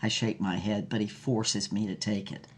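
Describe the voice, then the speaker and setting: A woman's voice: very deep voice, fairly even intonation, a little hiss or hum. An actress playing a brazen, worldly ninety-year-old film star woman, recounting her affairs frankly and sassily in an audio drama interview. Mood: brazen, animated. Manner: frank, sassy, unhurried storytelling.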